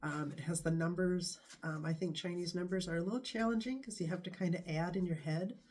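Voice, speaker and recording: A stuffy-nosed talks to the camera in a loose, meandering vlog, stopping often to find the next thought. The voice sits in a mellow, darker register, muffled by a head cold. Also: mid-range voice. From United States. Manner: rambling, hesitant, many pauses. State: stuffy nose from a bad cold. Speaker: vlogger. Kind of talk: casual talking-to-camera vlog.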